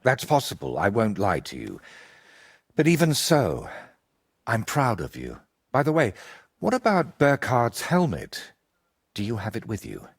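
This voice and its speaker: A man playing an evasive, eccentric wandering painter in a medieval video game, with a husky, rough-edged voice and a theatrical, riddling way of talking. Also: lowish voice, huge pitch swings, measured pace, full character voice. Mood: warm, grateful. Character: evasive eccentric male wandering painter, wry and world-weary.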